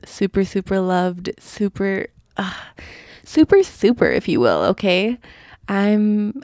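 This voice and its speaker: A woman's voice: mid-range voice, dark tone, dry recording, clean studio sound. A young woman from Utah hosting her own podcast, talking casually with a slight lisp, rambling with many ums and restarts. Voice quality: slight lisp on fast S sounds. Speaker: podcast host. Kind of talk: casual solo podcast chat.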